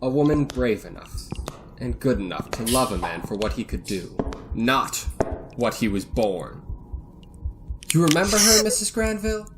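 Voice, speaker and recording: An actor playing a bitter, vengeful financier in a radio thriller, cold and deliberate with surges of excitement. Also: male voice, medium pitch, noisy background, natural voice. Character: bitter vengeful self-made financier holding his captives. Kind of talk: old-time radio thriller acting.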